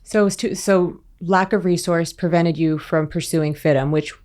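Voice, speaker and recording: A woman's voice: fairly low pitch, steady tone, moderate pace, a little mellow. A woman hosting an interview podcast, asking eager questions in a friendly, conversational way. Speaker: podcast host.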